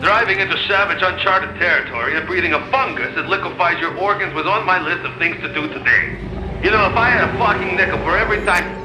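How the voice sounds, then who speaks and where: A grumbling game truck driver, acted by a man, high-voiced and exasperated. His sarcastic ranting comes with an echo and music behind it. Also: quick pace, lightly acted. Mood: sarcastic exasperation. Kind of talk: video game cutscene acting. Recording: echoey radio transmission, music behind.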